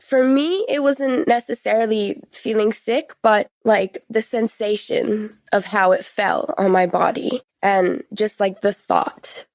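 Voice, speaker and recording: A woman's voice: mid-range voice, takes it slowly, hardly any pauses, a little mellow, no echo. A young woman in her late teens talking frankly and informally about her own experiences as an interview guest, often saying "like". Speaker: podcast guest. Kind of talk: personal interview, recounting experiences.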